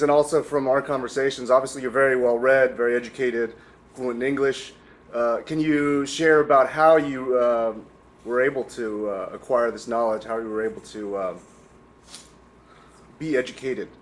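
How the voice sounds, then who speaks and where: A man's voice: mid-range voice, steady tone, moderate pace, roomy sound, slight background noise. Hesitant man asking from the audience. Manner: hesitant, many fillers. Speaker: audience member. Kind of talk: asking questions at a talk. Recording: far from mic, faint ambience.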